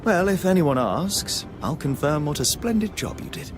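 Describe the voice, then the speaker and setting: Eager, polite gentleman, music behind. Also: on the high side, sing-song, normal speed. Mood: friendly, curious. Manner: chatty, polite, enthusiastic. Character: eager, polite young gentleman, keen to help. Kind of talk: scripted game dialogue.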